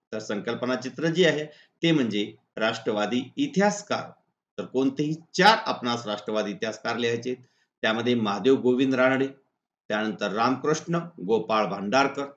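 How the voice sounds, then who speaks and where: A man with a Marathi accent teaching in a methodical, instructional way, reading out exam questions and answers point by point. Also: medium pitch. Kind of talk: scripted exam-prep lesson narration. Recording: echoey room, dull muffled audio.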